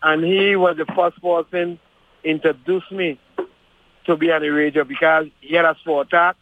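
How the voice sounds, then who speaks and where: A man's voice: fairly high pitch, little pitch movement, moderate pace, no room sound, phone-quality sound. A man recalling his radio days in a chatty way, with lots of yeahs between stories. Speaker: guest, former radio broadcaster. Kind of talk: casual reminiscing chat.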